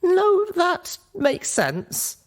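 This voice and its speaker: A man's voice: very high voice, wildly swooping pitch, extremely slow, pitched above the performer's own voice, heavily acted. A man voicing an angry, weary young female pony, stubborn and defiant, with a blunt protesting manner in a storybook reading. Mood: grudging. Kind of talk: audiobook character voice in storybook reading.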